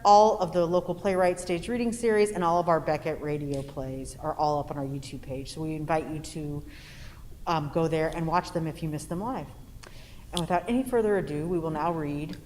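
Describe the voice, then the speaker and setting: A woman's voice: on the low side, a little mellow. A woman speaking as a theater host, introducing a live event and reading stage directions. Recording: reverberant hall, faint noise.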